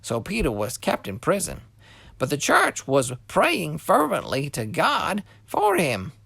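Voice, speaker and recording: A man's voice: a little high, huge pitch swings, a little slow, creaky, pushed and bright, breathy edge, dry recording. A man reading a scripture story expressively for children as a scripted narration.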